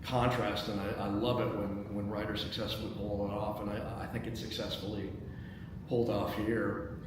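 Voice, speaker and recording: A man's voice: on the low side, flat delivery, normal speed. Male workshop host, mid-range, thinking aloud with stumbles and repeats; distant mic in a noisy, very echoey hall. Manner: hesitant, repeats words while thinking aloud. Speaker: host and stage-direction reader of a screenplay table read. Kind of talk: reading action lines and giving feedback to a group.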